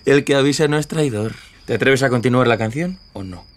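An actor playing a teasing, sceptical composer in a radio drama, bantering theatrically. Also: male voice, mid-range voice, fairly quick, close to an everyday voice. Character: teasing, sceptical male composer, a playful close friend.

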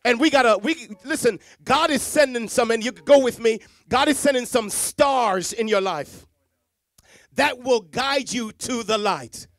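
Middle-aged preacher from Jamaica, high voice, dry close sound, handheld mic, dramatic hushed-to-loud delivery. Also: male voice, unhurried, dry recording. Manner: dramatic swings from hushed to loud, repetitive emphasis. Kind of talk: sermon. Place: church stage with Christmas decor, indoors. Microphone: handheld microphone.

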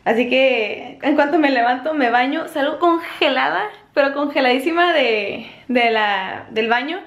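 A young woman talks in a high, bubbly voice. She sounds a little hoarse and giggles between lines. She is recorded on a handheld camera at arm's length in a small apartment. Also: a little slow. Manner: giggly, chatty. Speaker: vlogger. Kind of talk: casual vlog chat.

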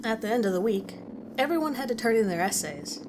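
A woman plays a concerned teacher recounting an unsettling horror story. The delivery is dramatic and swings widely in pitch, over clearly audible background ambience. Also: lively intonation, brisk, background noise, close to an everyday voice. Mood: uneasy, reflective. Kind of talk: dramatic scripted narration.

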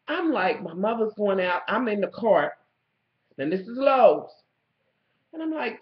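Raspy young woman vlogger fighting a cold, loud and foul-mouthed, talking straight to viewers over a muffled webcam in a roomy space. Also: medium pitch. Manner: loud, blunt and swearing, talking to viewers directly. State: coming down with a cold. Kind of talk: profane ranting storytelling. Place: small room at home, indoors. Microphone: webcam in front of the face.

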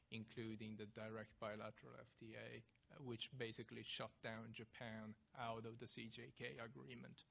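A man with a Swedish accent speaks as a panelist, his voice gravelly with fry. He talks analytically and steadily, now and then restarting a phrase, into a table microphone in a lecture hall. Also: mid-range voice, a bit bright, a little hiss or hum. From Sweden. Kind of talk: panel discussion talk.

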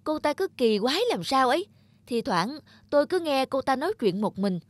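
A woman's voice: high-pitched, sing-song, normal speed, roomy sound. A woman acting a suspicious, gossiping apartment resident in a cartoon, confiding and disapproving. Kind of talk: animated moral-tale dubbing.

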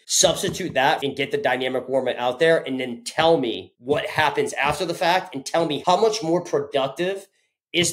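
Energetic man from Connecticut on a video call. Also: medium pitch. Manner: energetic, animated, casual with mild swearing. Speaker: strength and conditioning coach, guest. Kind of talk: casual interview storytelling. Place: home office with ceiling light, indoors. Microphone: video call webcam.

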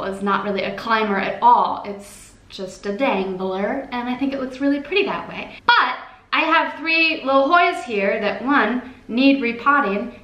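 A woman's voice: medium pitch, a bit bright. A woman in her twenties hosting a casual plant how-to vlog, chatty and playful with self-mocking asides, seated at a table in a plant-filled living room. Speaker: plant vlogger, host. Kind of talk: casual chat with how-to tips. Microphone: camera at a distance, seated at a table. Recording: roomy echo.

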